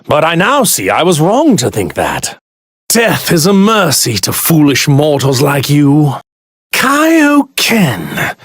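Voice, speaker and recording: An actor playing an arrogant god in a stolen body, pompous and sneering in formal, grandiloquent speech for an anime fighting game. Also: male voice, high-pitched, a bit bright, dry recording, clean studio sound, exaggerated voice. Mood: disdainful. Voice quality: theatrical, sneering delivery.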